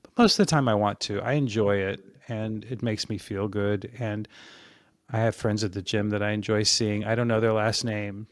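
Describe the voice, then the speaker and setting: A man in his sixties, a podcast guest, talking in a relaxed, rambling way about his fitness habits, often restarting his sentences. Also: on the low side, normal speed, dry recording, studio quality. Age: 60s. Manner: rambling and reflective, with frequent restarts. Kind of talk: casual interview chat.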